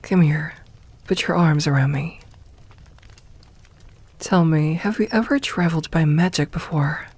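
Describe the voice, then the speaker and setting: A woman playing a doting, teasing evil lamia queen in an ASMR roleplay, soft-spoken and unhurried, with formal phrasing and near-whispered murmurs. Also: deep voice, breathy edge, dry recording, full character voice. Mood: gentle, reassuring. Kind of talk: ASMR roleplay, intimate personal attention.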